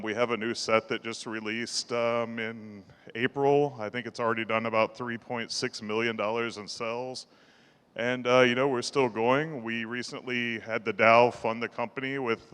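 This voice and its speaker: A man with a low voice giving his first conference talk, hesitant and full of fillers, holding the mic close. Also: flat delivery, moderate pace, fairly bright tone, smooth, in a large hall. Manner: hesitant, many fillers and restarts. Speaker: conference speaker. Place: large conference hall. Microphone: handheld mic held close.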